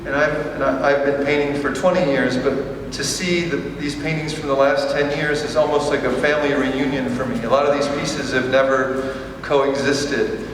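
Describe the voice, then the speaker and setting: A man talks slowly and haltingly, filling pauses with ums and starting sentences over. His voice is caught from a distance in a large, echoing hall. There is faint room noise behind him. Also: medium pitch, steady tone. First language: English. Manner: hesitant, many ums and restarts. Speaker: exhibiting artist. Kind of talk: press conference remarks. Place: large echoey museum hall. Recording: echoey hall, distant mic.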